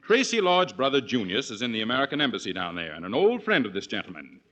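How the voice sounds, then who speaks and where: A man's voice: mid-range voice, big pitch swings, fast, a bit bright. An actor playing a showy, grandiloquent male magazine publisher in a 1940s radio drama.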